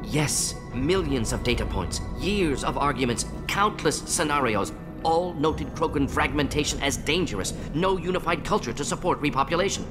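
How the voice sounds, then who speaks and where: An actor playing a brooding alien scientist and doctor in a video game, speaking in clipped, analytical fragments. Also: male voice, on the high side, animated, normal speed, over music, natural voice. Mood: insistent, defensive. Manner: clipped telegraphic phrases, analytical. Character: brisk, brooding alien scientist-doctor, male, conscience-burdened. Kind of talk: video game dramatic dialogue.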